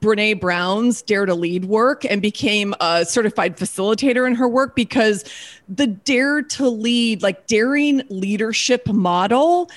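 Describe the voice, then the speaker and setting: A woman's voice: medium pitch, unhurried. A woman hosting a podcast, chatting enthusiastically and gushing, with lots of "like" and "you know.". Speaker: podcast host. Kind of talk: conversational podcast interview.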